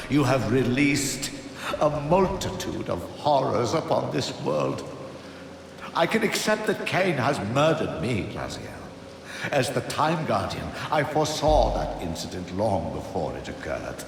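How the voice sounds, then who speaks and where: An actor playing a sly, sanctimonious old sorcerer's spirit in a video game, with theatrical, solemn pronouncements and a wounded air. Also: male voice, medium pitch, gravelly, background noise, heavily acted. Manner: theatrical, slow, solemn pronouncements with swooping emphasis. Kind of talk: dramatic video game voice acting. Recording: long echoing reverb, music behind.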